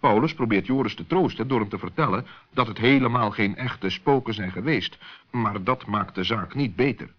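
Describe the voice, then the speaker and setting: A man narrating a children's story as an expressive storyteller. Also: on the low side, moderate pace. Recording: dull, lo-fi old recording.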